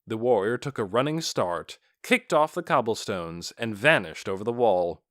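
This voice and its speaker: A man's voice: on the low side, lively intonation, normal speed, a bit bright, no echo, studio quality. A man reading scripted fantasy fiction as a dramatic audiobook narrator.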